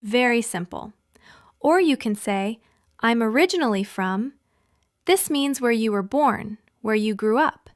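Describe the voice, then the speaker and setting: A young woman from California co-hosting an English-learning podcast, speaking clearly like a teacher and laughing often. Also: a little high, animated, a little slow, no echo, studio quality. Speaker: podcast co-host.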